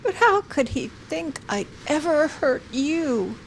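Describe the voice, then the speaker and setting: A woman playing a moody, guilt-ridden, fragile widow in an audio drama, halting and hushed, often slipping into whispers. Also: high-pitched, sing-song, slight background noise, exaggerated voice, low-quality recording. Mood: regretful, shaken.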